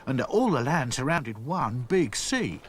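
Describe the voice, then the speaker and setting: A man's voice: medium pitch, very animated, a little slow, a bit bright, slight background noise, voice pushed up. A man voicing a cheerful, chuckling male rag doll in a cartoon.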